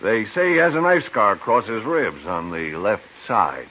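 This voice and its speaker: An actor playing a smug, officious American cattlemen's agent in an old radio western, insistent and self-righteous. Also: male voice, fairly low pitch, big pitch swings, moderate pace, slightly rough. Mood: smug, defensive. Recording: narrow, muffled, hissy old radio recording.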